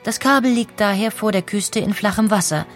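A woman narrating a scripted history documentary with clear, even storytelling and rhetorical questions. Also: mid-range voice, a little fast, soft background music.

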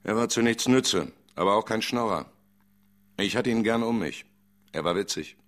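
An actor playing an unfazed, hard-boiled club boss in a radio crime play, cool and laconic. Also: male voice, lowish voice, moderate pace, a bit bright, close to an everyday voice.

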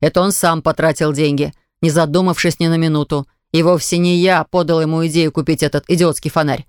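A woman's voice: low-pitched, fairly even intonation, fairly quick, smooth, no room sound, studio quality, voice pushed down, close to an everyday voice. A woman voicing an irritable, tired father who lectures his young son in a children's storybook. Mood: exasperated. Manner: stern, exasperated, lecturing. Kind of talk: audiobook character reading.

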